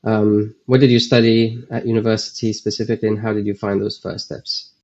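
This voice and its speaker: A male podcast host with a low, mellow voice reads his introduction, then asks questions with some hesitation. The recording sounds dull and lo-fi. He speaks in a relaxed way. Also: brisk. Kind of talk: scripted intro and interview questions.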